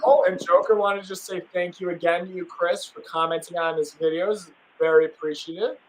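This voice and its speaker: A young man from Wisconsin hosts a casual live stream. His voice sits a little high, and he talks with eager enthusiasm, looping through fillers and restarts as he hypes up his guests. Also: fairly even intonation, brisk, a little hiss or hum, poor audio.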